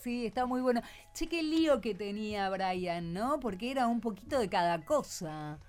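A woman's voice: mid-range voice, expressive, normal speed, a little mellow, faint music behind. Argentine woman hosting casual radio chat. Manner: informal, prompting with questions and short echoes. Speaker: radio host.

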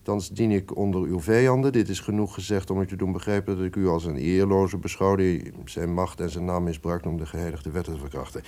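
An actor playing a powerful, vengeful, scheming duke in theatrical radio drama, with declamatory, archaic formal diction. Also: male voice, low-pitched, a little mellow.

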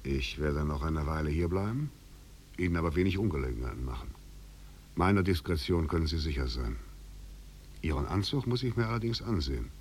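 A male actor playing a self-possessed escaped prisoner, measured and ironic, in a 1950s German radio drama. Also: very deep voice, expressive. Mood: coolly demanding. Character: escaped prisoner, self-possessed, coolly demanding, philosophical man. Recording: noisy 1950s radio recording.

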